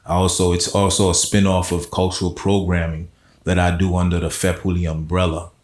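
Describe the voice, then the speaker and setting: A man's voice: sits low, little pitch movement, a little fast, a little mellow, reverberant. A man, a poet and spoken word artist, talking casually as an interview guest with a laid-back, rambling manner full of fillers. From South Florida.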